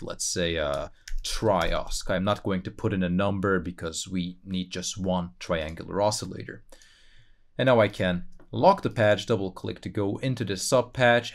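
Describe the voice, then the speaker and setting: Low-pitched man giving a casual tutorial. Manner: informal, explanatory, thinking aloud. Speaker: tutorial presenter. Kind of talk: casual screen-recorded software tutorial. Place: voice-over for a screen recording.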